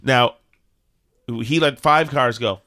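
A man's voice: mid-range voice, takes it slowly, sharp tone. A man co-hosting a casual live-stream chat, ranting sarcastically while coughing and losing his voice. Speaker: co-host.

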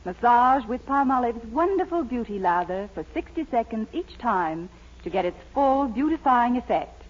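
Casting: woman for 1940s commercial, fairly high, expressive delivery. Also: moderate pace. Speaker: commercial announcer. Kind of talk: scripted 1940s radio advertisement. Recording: dull old radio recording.

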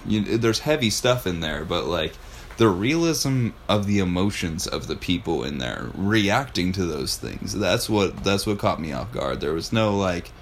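Man, deep voice, podcast host, casual chat, rambling, enthusiastic, swears freely. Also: animated, a little slow, a little hiss or hum.

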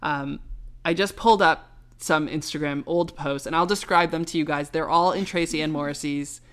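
A young woman co-hosting a podcast in casual chat, talking fast and loose with lots of filler words. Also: low-pitched, steady tone, fairly bright tone. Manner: chatty, lots of "like" and "um", recounting gossip. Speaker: podcast co-host.

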